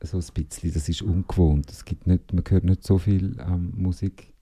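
A man's voice: deep voice, steady tone, moderate pace, mellow. A man hosting a radio interview, asking long chatty questions. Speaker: radio host.

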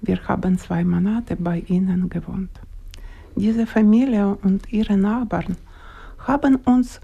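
An older woman in her sixties with a Ukrainian accent talks slowly, with a gravelly, creaky voice. Her tone is dark and mellow. She searches for her words as a guest in a radio studio. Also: medium pitch, dull audio. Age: 60s. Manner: slow, halting, searching for words. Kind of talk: live studio interview.

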